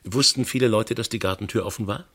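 An actor playing a matter-of-fact police detective in a German radio crime drama, questioning in a clipped, methodical way. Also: male voice, fairly low pitch, fast talker, fairly bright tone, husky. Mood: probing. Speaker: police investigator.

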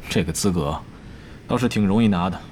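A man's voice: low-pitched, fairly quick, darkish tone, slight background noise, close to an everyday voice. An actor playing a stern, composed male esports team captain in a Chinese audio drama, serious and restrained. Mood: serious, challenging. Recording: background music under the voice.

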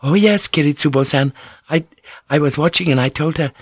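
A man's voice: fairly high pitch, fairly quick, breathy edge, dry recording, pitched above the performer's own voice. A man voicing a polite, dutiful young maidservant with a deferential, formal manner, in an audiobook. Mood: proud, polite.

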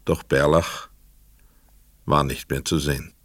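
A man reading scripted literary narration for a radio play in a measured, precise storytelling manner. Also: extremely low pitch, fairly even intonation, a bit bright, a little hiss or hum.

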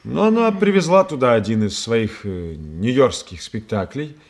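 A defensive young theatre man in a radio drama. His mid-pitched voice is earnest and well-mannered, with animated, protesting swings in intonation. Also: normal speed. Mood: explaining. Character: polite, defensive young stage actor, easily exasperated. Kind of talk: Russian radio play acting.

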